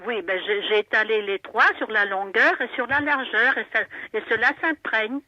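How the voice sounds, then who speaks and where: A woman's voice: a little high, steady tone, moderate pace, bright, forceful tone, dry recording. A woman in casual conversation over the telephone, puzzled but cooperative, heard through a dull, narrow line. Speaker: prank call victim.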